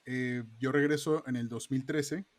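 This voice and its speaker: A man's voice: medium pitch, steady tone, a little slow. Thoughtful male guest. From Peru, raised in Venezuela. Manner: thoughtful, rambling with fillers and restarts. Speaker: guest, software QA engineer. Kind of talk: casual interview conversation. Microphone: remote call setup.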